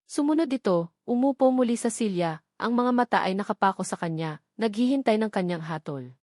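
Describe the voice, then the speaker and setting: A woman's voice: medium pitch, expressive, a little fast, darkish tone, no echo, clean studio sound. A woman narrator reading a scripted romantic drama in a storytelling style.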